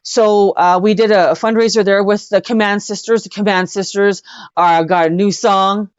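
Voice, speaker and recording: A woman's voice: mid-range voice, steady tone, moderate pace, clean tone, no room sound, muffled recording. A woman chatting casually as an interview guest, effusive and repeating words for emphasis. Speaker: interview guest, singer-songwriter and actress.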